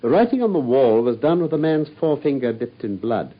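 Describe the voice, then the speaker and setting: A man's voice: mid-range voice, sing-song, a little fast. An actor playing a brilliant, self-assured Victorian detective who explains his deductions in a confident, lecturing manner, in a radio drama. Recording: dull lo-fi radio sound.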